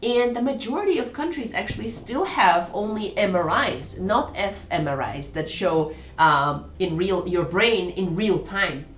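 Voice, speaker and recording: A woman's voice: medium pitch, animated, a little slow, hardly any pauses, roomy sound, a little hiss or hum. A woman hosting an online discussion room, talking casually, rambling with restarts and laughs.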